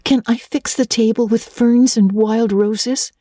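Woman putting on a dramatic orphan girl, way up high, tearful, breathy. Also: steady tone, unhurried, warm tone, no room sound, clean studio sound. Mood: eager.

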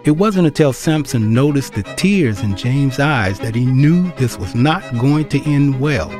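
A man's voice: mid-range voice, animated, a little slow, music in the background. A man narrating a scripted audio drama in a wry storytelling manner.

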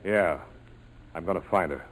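An actor playing a tough, cool American major with a wry, clipped, persuasive way of talking in 1940s radio style. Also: male voice, low-pitched, a little slow. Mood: firm. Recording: noisy old 1940s radio broadcast.

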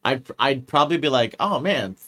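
A man co-hosting a casual podcast, talking through his thoughts out loud. He rambles, restarts his sentences and swears freely, in a relaxed everyday tone. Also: medium pitch, moderate pace. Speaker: podcast co-host. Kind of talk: casual chat.

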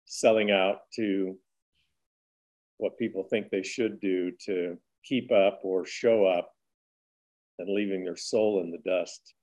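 Slow-spoken low-voiced male host. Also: fairly even intonation, a little mellow. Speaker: podcast host. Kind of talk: casual interview chat.

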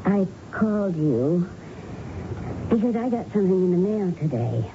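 Gentle widow, lowish voice, hesitant and sincere, muffled old radio drama. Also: female voice, very animated, moderate pace. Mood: wondering. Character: gentle, grateful policeman's widow with children. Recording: muffled vintage radio, noise behind.

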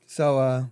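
A man co-hosting a podcast, chatting casually and joking, with animated swings into high mock voices. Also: slightly rough, dry recording. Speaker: podcast co-host. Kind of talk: casual banter.

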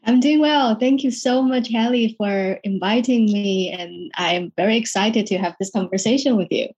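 A woman from Taiwan, a psychologist talking about her career in a relaxed interview, hesitant with many restarts and quick laughs. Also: fairly high pitch, husky, dull audio. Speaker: guest psychologist. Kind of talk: casual interview chat.

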